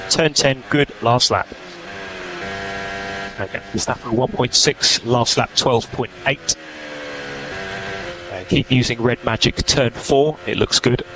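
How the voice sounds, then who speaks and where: A man working as a race engineer, giving terse, matter-of-fact technical instructions and numbers over team radio. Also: mid-range voice. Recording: noisy team radio, engine and car noise.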